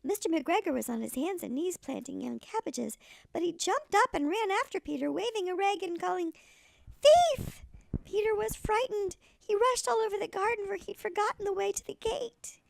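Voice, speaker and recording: Tiny elderly granny storyteller, played by a woman: high-pitched, very gravelly, expressive picture-book read. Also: normal speed, no room sound, heavily acted. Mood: exclaiming. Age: older. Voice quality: creaky, gravelly. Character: tiny granny, a little old lady storyteller. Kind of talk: expressive children's storybook reading.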